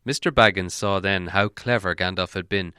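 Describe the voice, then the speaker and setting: A man narrates a storybook in a bright, crisp voice. His delivery is scripted audiobook reading, and the sound is very dry and close. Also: low voice, moderate pace, dry recording. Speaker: audiobook reader.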